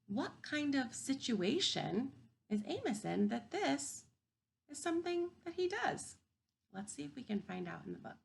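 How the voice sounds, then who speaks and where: A woman teaching kindergarten through a read-aloud, thinking aloud and posing simple questions to children in an expressive, emphatic way. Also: high-pitched, gravelly, a little hiss or hum. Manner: expressive, emphatic storybook delivery.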